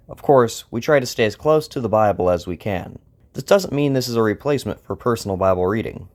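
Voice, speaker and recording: A man hosting and narrating a scripted story, with plucked guitar music behind him. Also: on the low side. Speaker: host and narrator.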